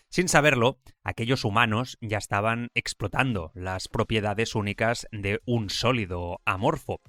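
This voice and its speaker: A man with a Spain accent hosting a podcast, reading educational narration in a clear expository way. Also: mid-range voice, a bit bright, no room sound, clean studio sound. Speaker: podcast host.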